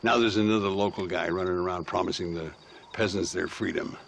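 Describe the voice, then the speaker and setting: Old gravelly gunslinger. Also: male voice, sits low, steady tone, a little fast, a little hiss or hum. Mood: world-weary. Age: older. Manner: wry, drawling, theatrical. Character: aging famed American gunslinger, wry and world-weary. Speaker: video game character. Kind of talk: game cutscene acting, Western drama.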